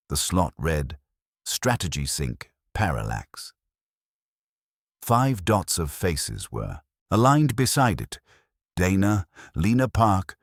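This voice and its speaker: A man reading scripted first-person detective story narration in a wry, measured manner. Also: low-pitched, no echo, studio quality. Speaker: audiobook narrator.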